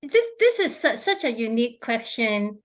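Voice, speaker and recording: A middle-aged woman from Hong Kong, a feng shui consultant answering interview questions in a halting way, with many fillers and restarts. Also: on the high side, very animated.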